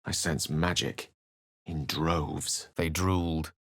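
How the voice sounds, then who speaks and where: An actor playing a scholarly, self-assured and romantic human wizard in a video game, with an eloquent, theatrical way of speaking. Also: male voice, extremely low pitch, fairly even intonation, takes it slowly, fairly bright tone, no echo, full character voice. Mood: thoughtful. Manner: eloquent, theatrical, ornate phrasing. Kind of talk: video game character lines and narration.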